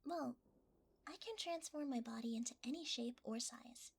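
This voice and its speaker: A woman's voice: on the high side, moderate pace, vocal fry, husky. A woman voicing a shy, cuddly shapeshifting creature in an ASMR roleplay, hesitant and easily flustered, often half-whispering. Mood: shy, affectionate.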